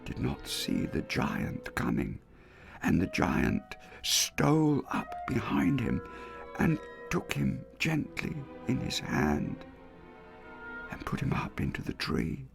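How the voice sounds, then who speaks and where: A man giving an expressive dramatic reading of a classic tale, lingering over words and dropping to hushed asides. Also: mid-range voice, slow, creaky. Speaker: storyteller. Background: soft music underscore.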